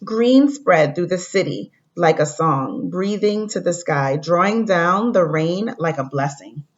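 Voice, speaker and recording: A woman teacher giving a read-aloud lesson to young pupils, speaking in a deliberate way and addressing the children directly. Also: on the low side, animated, slight background noise. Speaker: elementary school teacher. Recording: dull lo-fi recording.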